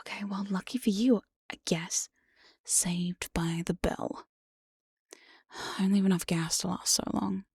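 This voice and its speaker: A breathy demon mistress of a dungeon , played by a woman for an ASMR roleplay. She whispers close to the microphone in a soft, relaxed voice. Her manner is slow, coaxing and mock-sweet, with a teasing, domineering edge. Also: medium pitch, dry recording, exaggerated voice. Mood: grudging. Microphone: close binaural studio microphone.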